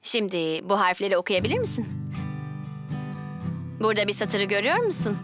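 A woman's voice: medium pitch, big pitch swings, normal speed, music in the background, close to an everyday voice. A woman playing a patient, reassuring optometrist in a Turkish children's dub, guiding a child encouragingly step by step.

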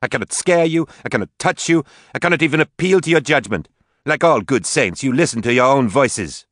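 A man's voice: mid-range voice, quick pace, dry recording, studio quality, voice pushed up, natural voice. Man voicing a furious tearful young woman. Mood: angry. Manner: sharp, pleading, swearing outbursts.

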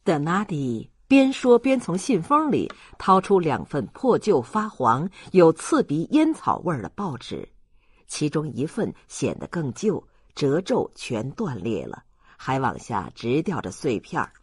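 An audiobook narrator reading a classic novel in an expressive, scripted storytelling style. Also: medium pitch, unhurried, slightly rough, dry recording, studio quality.

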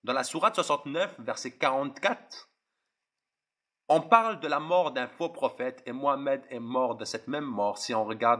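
Impassioned young man preaching, husky and tense. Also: medium pitch, a bit bright. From Algeria. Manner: impassioned, repetitive, addresses listeners directly. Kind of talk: preaching testimony.

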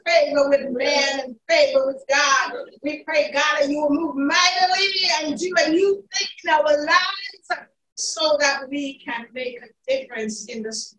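A woman leading a fervent spoken prayer at a church pulpit, impassioned and rising into shouts of praise. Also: high-pitched, a little hiss or hum. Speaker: prayer leader. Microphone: pulpit mic, recorded by far camera. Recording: echoey church from far off.

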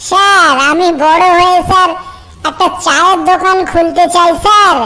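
A woman's voice: very high-pitched, steady tone, moderate pace, pushed and bright. A woman voicing a little, earnest and ambitious schoolboy in a comedy cartoon, deferential and repetitive. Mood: earnest, eager.

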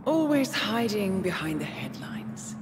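A woman playing a cool, calculating intelligence handler in a video game, speaking in measured, formal phrases. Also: mid-range voice, sing-song, very noisy. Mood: taunting, cold. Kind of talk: dramatic video game cutscene acting. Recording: long hall reverb under loud music.

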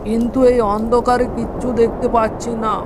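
A man's voice: very high-pitched, little pitch movement, a little fast, very noisy. A man voicing a frightened village labourer in a cartoon, speaking in a fearful, urgent manner. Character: frightened village labourer urging everyone along. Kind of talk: Bengali cartoon voice acting. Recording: music behind the voice.

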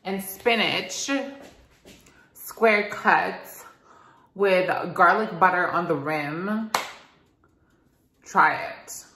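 A woman's voice: fairly low pitch, extremely slow, long pauses, pushed and bright. A young woman in her twenties chatting casually to camera in a vlog, full of "like" and "um" fillers, recorded on a phone held at arm's length. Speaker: vlogger. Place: home kitchen indoors, later a rooftop terrace outdoors. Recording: ambient background noise.

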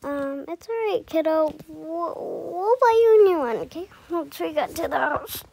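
A woman's voice: very high voice, expressive, unhurried, gravelly, darkish tone, exaggerated voice. A woman voicing a busy mother in improvised pretend play with game characters, hesitant and murmuring to herself. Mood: coaxing. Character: busy mother packing up for a family move.

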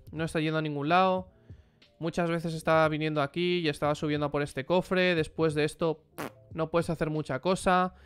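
Blunt young Spaniard streaming on a headset. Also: male voice, on the high side, a bit bright, smooth. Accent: Spanish from Spain. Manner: blunt and informal, answers chat questions. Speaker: streamer reviewing a player's matches. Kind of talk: casual live-stream commentary.

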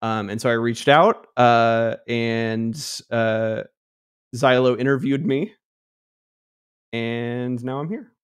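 A man's voice: medium pitch, fairly even intonation, slow, smooth. Young man, hesitant and filler-heavy, on a headset call. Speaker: guest, community team member. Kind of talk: casual podcast chat. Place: home room, indoors. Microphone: headset over ears, video call.

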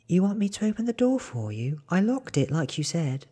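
A man voicing a cool, cunning female vampire feigning innocence in soft, whispery ASMR audiobook dialogue. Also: sits high, big pitch swings, moderate pace, in a large hall, voice pushed up, full character voice. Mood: feigned innocence. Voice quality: breathy, whispery.

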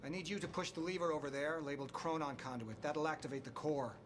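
An actor playing a driven, persuasive scientist in earnest, cinematic video game dialogue. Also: male voice, fairly high pitch, quick pace, noisy background, close to an everyday voice. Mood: eager, focused. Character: driven, persuasive male scientist friend, secretly nervous. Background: music.

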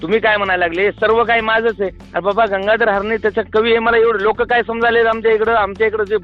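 A man's voice: high-pitched, little pitch movement, fast. A man with a Marathwada accent arguing indignantly on a phone call and insisting on being heard. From Marathwada, Maharashtra. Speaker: poet. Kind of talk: heated argument on a phone call. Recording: dull phone call recording with music.

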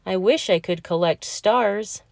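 A woman's voice: mid-range voice, unhurried, vocal fry, darkish tone, no echo, clean studio sound. A woman voicing an earnest, stubborn, dreamy young male crocodile from a children's storybook, with emphatic delivery.

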